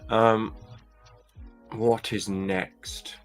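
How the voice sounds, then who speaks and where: A man's voice: fairly low pitch, flat delivery, extremely slow, soft background music. A man with a light Scottish accent chatting casually to his viewers as a vlogger, rambling and hesitant with many restarts. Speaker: toy and claw machine vlogger.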